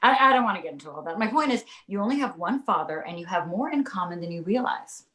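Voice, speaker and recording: A woman playing a doting young mother with a soothing, reasoning manner, in an animated comedy table read. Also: medium pitch, quick pace. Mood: patient. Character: doting, placating young mother of a baby boy. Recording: echoey room.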